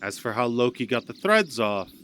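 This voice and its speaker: A man's voice: medium pitch, big pitch swings, normal speed, fairly bright tone. A man narrating a scripted story in a dramatic storytelling style. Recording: amateur recording with background noise.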